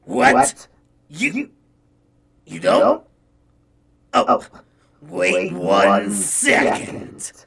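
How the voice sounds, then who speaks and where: A man's voice: lowish voice, huge pitch swings, very slow, creaky, pauses often, fairly bright tone, full character voice. A man playing a menacing, indignant armored giant in a comic audio drama, with a grandiose manner and an echo on the voice. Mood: taken aback. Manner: grandiose, bellowing threats. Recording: roomy echo on the voice.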